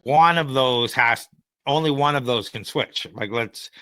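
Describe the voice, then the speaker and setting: A man's voice: mid-range voice, unhurried, bright, forceful tone, smooth, no room sound. A man co-hosting a podcast, giving opinions in casual conversation and explaining them with everyday examples. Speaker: podcast co-host and AI consultant.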